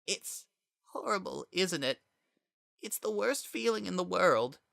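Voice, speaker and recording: Performer voicing a soft, gentle, sorrowful child. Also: mid-range voice, lively intonation, a little slow, gravelly, dry recording, clean studio sound, voice pushed up, heavily acted. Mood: tender, sorrowful. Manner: soft, tender, hesitant.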